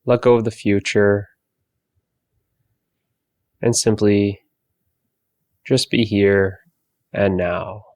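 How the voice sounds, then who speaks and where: A man leading a guided meditation for focus, giving soft, calm body-scan instructions with long pauses. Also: fairly low pitch, dry recording.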